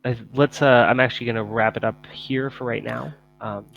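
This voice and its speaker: Guy game mastering, average pitch, kinda halting, slightly muffled lo-fi mic. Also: fairly even intonation, vocal fry, slight background noise. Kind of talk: casual explanation during a tabletop game. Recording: slightly dull lo-fi microphone.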